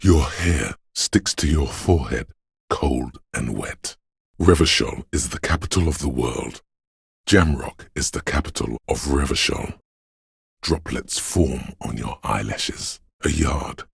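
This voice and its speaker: A man voicing a brooding, otherworldly inner voice that senses a rainy city, narrating a game in solemn, measured, poetic lines with a husky, gravelly voice. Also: extremely low pitch, dry recording, clean studio sound, full character voice. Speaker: video game voice actor. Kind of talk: slow, poetic second-person game narration.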